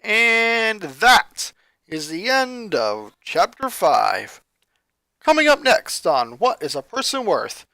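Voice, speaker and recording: A man reading a scripted story aloud as an expressive narrator who sometimes stumbles over words. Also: sits high, takes it slowly, vocal fry, no echo. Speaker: fan fiction reader.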